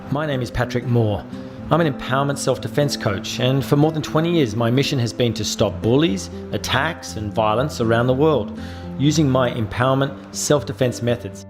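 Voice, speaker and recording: A man's voice: medium pitch, moderate pace. Earnest middle-aged man narrating over music. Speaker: self-defense coach. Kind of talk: scripted promotional narration. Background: background music.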